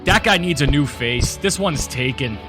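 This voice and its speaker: An actor playing a haughty, petulant young villain with pompous, menacing delivery in an audio drama. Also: male voice, medium pitch, animated, moderate pace, over music, close to an everyday voice.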